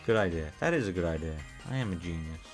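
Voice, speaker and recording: British guy streaming, kinda low flat voice, swears a lot, faint music behind. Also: fast talker. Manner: rambling, profane, self-described monotone. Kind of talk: casual live-stream chat while gaming.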